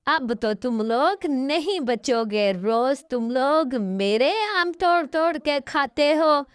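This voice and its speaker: A woman's voice: sits high, animated, takes it slowly, no room sound, studio quality. A woman voicing an angry male parrot, a stern orchard owner who scolds and threatens in a cartoon story. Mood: angry scolding.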